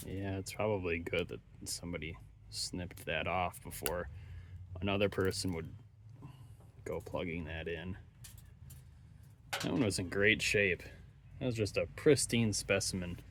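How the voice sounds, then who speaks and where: Low-voiced man casually narrating, noisy background. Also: measured pace, pauses often. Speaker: host of radio repair videos. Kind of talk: casual unscripted hands-on repair commentary. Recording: steady background noise, ambient sound.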